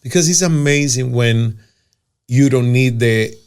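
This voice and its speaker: A man's voice: medium pitch, measured pace, smooth. Casting note: male host, Cuban Spanish accent, native Spanish speaker, earnest and emphatic, mid-range voice. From Cuba. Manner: earnest, emphatic, with frequent restarts. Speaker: podcast host. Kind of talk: casual conversational interview.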